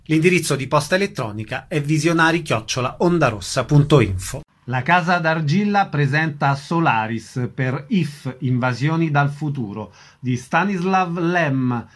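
A male radio presenter with a voice a little higher than most. He reads a prepared programme announcement, as at the start of a broadcast.

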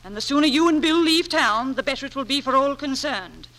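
A woman's voice: sits high, lively intonation, brisk, fairly bright tone. A woman playing a haughty, spiteful aunt, formal and imperious, in a 1940s radio drama. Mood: cold, severe. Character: haughty, spiteful aunt guarding the family name.

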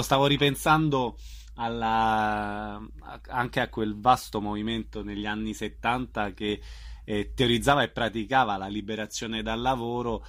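A man interviewing a guest conversationally, phrasing questions in a measured, somewhat hesitant way. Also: fairly low pitch, a bit bright. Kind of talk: conversational radio-style interview.

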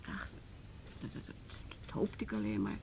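A woman's voice: sing-song, moderate pace, gravelly, a little mellow, husky, exaggerated voice. An actress in a radio play playing an anxious, distraught mother who stammers and repeats her words. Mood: worried. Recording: faint noise and low rumble.

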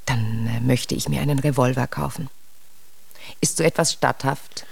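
A genteel widow in a radio crime play. Her voice is low for a woman and closely, dryly recorded. She speaks politely and with subdued, hesitant anxiety. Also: normal speed, creaky, breathy edge, no room sound, faint music behind, full character voice. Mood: anxious, polite. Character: anxious, genteel widowed English shop owner. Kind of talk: German radio crime drama acting.

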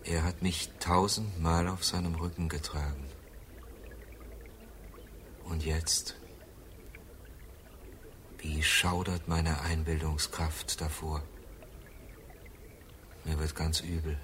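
An actor in a radio drama playing a faded stage star who mourns past glory in brooding, declamatory speech. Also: male voice, a bass voice, flat delivery, takes it slowly, pauses often, fairly bright tone, full character voice. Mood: mournful. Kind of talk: theatrical radio drama monologue. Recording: roomy echo with faint noise.

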